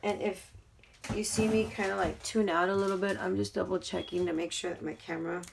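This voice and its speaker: A woman hosting a craft tutorial, talking casually while she works with her hands, her voice hoarse. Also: on the low side, steady tone, fairly quick, a little hiss or hum. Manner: chatty, talks while working with her hands. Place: craft table at home.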